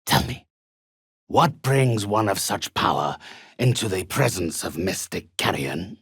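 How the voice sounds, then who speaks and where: A sinister undead necromancer for a video game, deep and very slow. He speaks with pompous, coldly polite menace, his gravelly voice husky and close. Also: animated, a bit bright, no room sound, exaggerated voice. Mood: stately, ominous. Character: sinister, haughty undead male necromancer trading in spirits. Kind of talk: scripted video game character acting.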